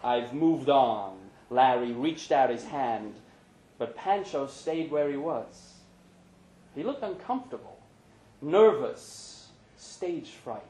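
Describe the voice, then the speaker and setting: A man's voice: mid-range voice, big pitch swings, slow pace, darkish tone. Man reading fiction aloud, echoey room. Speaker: reader of short fiction. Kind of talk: scripted narration, live story reading. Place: pub event, indoors.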